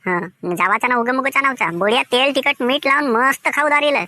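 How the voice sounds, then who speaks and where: A woman's voice: sits high, brisk, sharp tone, no echo, studio quality. A woman voicing a scheming, bossy village man who steals mangoes in an amateur cartoon comedy, speaking colloquially with a Vidarbha accent.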